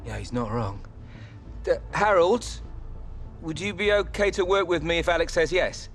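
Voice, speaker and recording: A man's voice: on the high side, lively intonation, moderate pace, fairly bright tone, faint music behind. A man playing an agreeable negotiator in a video game cutscene, talking in a natural, everyday way. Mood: agreeable, tentative.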